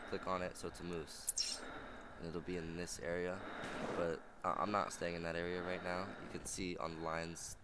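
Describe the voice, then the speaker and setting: Young male gaming host, low and slightly raspy, rambling casual delivery, static-laden home mic. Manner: rambling, filler-heavy, chatty. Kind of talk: casual let's play commentary. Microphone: old, static-prone headset mic.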